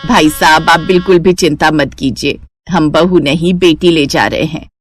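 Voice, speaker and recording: A woman voicing a gentle, traditional older mother-in-law with a measured, soothing manner in an animated story. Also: sits low, pitched below the performer's own voice.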